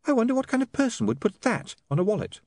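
A man's voice: very high voice, very animated, quick pace, a little mellow, no echo, studio quality, pitched above the performer's own voice, heavily acted. A man doing a nervous, hesitant young woman. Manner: nervous, hesitant, full of ums.

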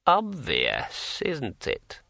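A man voicing a dead old man in a storybook, brittle and aged, rambling conversationally in halting, drawn-out phrases. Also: medium pitch, huge pitch swings, vocal fry, sharp tone, no room sound, studio quality, heavily acted. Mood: musing, conversational. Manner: slow, halting, drawn-out delivery.

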